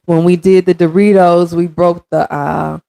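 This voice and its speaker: Woman in her thirties, low voice, congested and raspy, dry close sound. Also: level tone, measured pace, mellow, no room sound, rough recording. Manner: chatty, addresses viewers directly, reads comments aloud. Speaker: stream host. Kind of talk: casual livestream chat.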